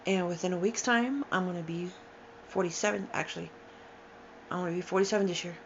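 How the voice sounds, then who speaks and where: A woman's voice: on the low side, slight background noise. Weary woman in her forties, muffled recording. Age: 40s. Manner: halting, emotional, with sighs and restarts. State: tired and upset. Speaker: storyteller sharing a personal account. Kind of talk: unscripted personal testimony.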